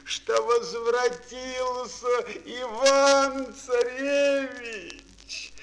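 A man playing an overjoyed, pompous old tsar, making drawn-out grand proclamations in a children's fairy tale audio play. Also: high voice, a bit bright, slight background noise, voice pushed up, heavily acted, poor audio.

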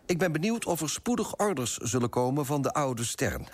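A man's voice: a little high, very animated, moderate pace, slight background noise. An actor playing a smug, moralizing male broker who narrates in a pompous, disapproving way in a radio play. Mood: disdainful.

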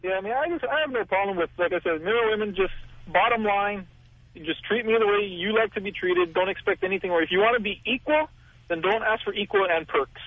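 A man calling in to a talk show, wry and halting, with a lot of fillers. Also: high voice, no echo. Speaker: caller. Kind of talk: phone-in call to a talk show. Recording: tinny phone line.

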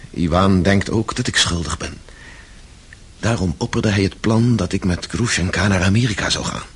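A very husky, low-pitched prisoner in a radio play. He is tormented and passionate, speaking in a slow, brooding, confessional manner full of self-reproach. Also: heavily acted. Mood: anguished. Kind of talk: radio drama acting.

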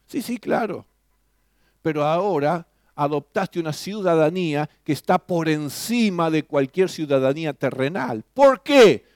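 A man's voice: a little high, animated, measured pace, no echo, clean studio sound. A man preaching a sermon, emphatic and full of rhetorical questions. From Argentina. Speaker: pastor.

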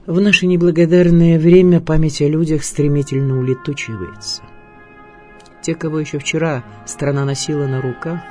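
Male radio narrator, mellow tone, measured declamatory documentary read, faint music underneath. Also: medium pitch.

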